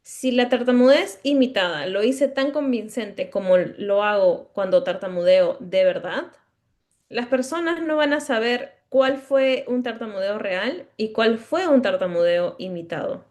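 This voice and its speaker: A woman's voice: a little high, measured pace, darkish tone. A woman who stutters, giving a conference lecture as a speech-language pathologist, explaining step by step, with occasional mid-word restarts and checking questions to the audience.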